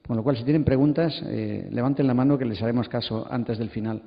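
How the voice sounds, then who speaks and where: A man's voice: mid-range voice, a little fast, creaky. Reverberant middle-aged Spanish man moderating. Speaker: panel moderator. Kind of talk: hesitant spoken moderating. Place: large hall at a congress. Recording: muffled, long hall reverb.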